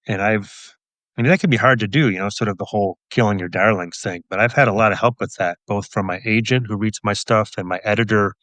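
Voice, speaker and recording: A man answering interview questions as a guest author, casual and reflective, in a thoughtful, measured manner. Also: fairly low pitch, fairly bright tone, no echo.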